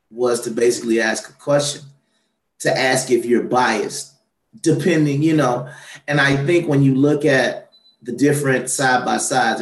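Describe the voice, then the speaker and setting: A man's voice: medium pitch, echoey room. A man hosting a casual political talk show, his voice tired and worn, rambling with lots of fillers and restarts. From Atlanta, Georgia. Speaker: podcast host.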